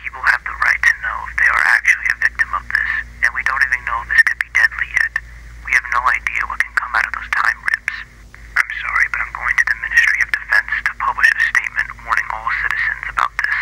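A man acts out a guilt-ridden insider in an analog horror voicemail. His delivery is very monotone and creaky, yet earnest and insistent. The phone recording is clearly noisy. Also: mid-range voice, fast talker, close to an everyday voice. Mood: resolute, grave. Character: guilt-ridden male insider leaving an urgent warning voicemail.

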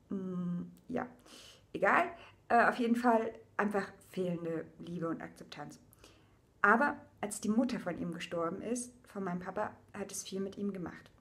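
A young woman from eastern Germany telling her personal story to camera at home, casual and searching for words, with many fillers, and quieter on the painful parts. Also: mid-range voice. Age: young adult. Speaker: vlogger. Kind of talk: personal video diary. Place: indoors, small room with a painting behind her.

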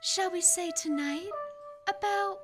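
A young woman acting a coy, lovestruck shop lady in an old-time radio comedy, gushing deliberately. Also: extremely high pitch, over loud music. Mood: smitten. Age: young adult. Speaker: high school student actor. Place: school library room, live staged radio show. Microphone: shared stand microphone.

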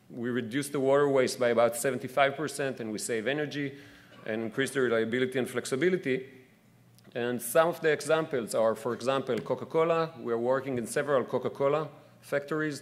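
Hesitant man speaking at a podium. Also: medium pitch. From New Jersey. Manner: hesitant, frequent uhs and restarts. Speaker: company executive. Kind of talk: business speech at a podium. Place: event hall, indoors. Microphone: podium microphone.

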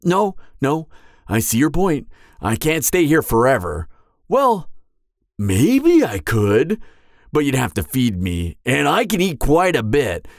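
Male actor as awkward friendly giant, lively swooping intonation, unhurried pace, hesitant rambling, apologetic, ASMR roleplay. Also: medium pitch, no echo, studio quality, voice pushed up. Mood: amused, stalling. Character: sheepish, chatty, friendly young male giant.

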